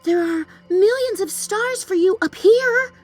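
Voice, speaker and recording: A woman's voice: extremely high pitch, unhurried, faint music behind, voice pushed up. A woman voicing the Moon as a hopeful, eager female friend in a children's storybook, exclaiming and encouraging in a sing-song way. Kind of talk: children's storybook read-aloud.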